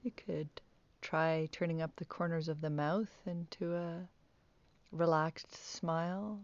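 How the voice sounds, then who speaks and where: A woman teaching guided meditation, speaking softly with calm, gentle instructions and long pauses. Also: deep voice, no room sound, lo-fi sound.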